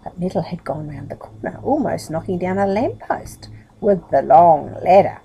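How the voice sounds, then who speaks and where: Lady reading a kids' book out loud, soft mellow tone, really expressive, chatty, little bit of room noise. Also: on the low side, normal speed, gravelly, poor audio. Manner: animated, chatty asides to a young listener. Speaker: storybook reader. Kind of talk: expressive children's story narration.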